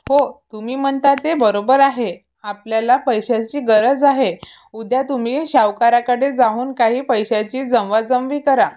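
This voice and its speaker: A woman playing a gentle village mother and wife in a cartoon story, supportive and encouraging. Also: fairly high pitch, fairly even intonation, unhurried, close to an everyday voice. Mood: practical. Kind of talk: scripted cartoon story dialogue.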